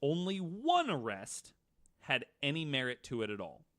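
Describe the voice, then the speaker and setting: A man's voice: medium pitch, wildly swooping pitch, normal speed, fairly bright tone. An American man co-hosting a podcast, telling a story from notes with casual banter. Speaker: podcast co-host.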